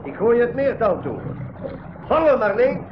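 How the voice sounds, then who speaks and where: An actor playing a brisk, practical and protective companion, giving instructions in a 1980s school radio drama. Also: male voice, very high voice, very animated. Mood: urging. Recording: dull, noisy old radio recording.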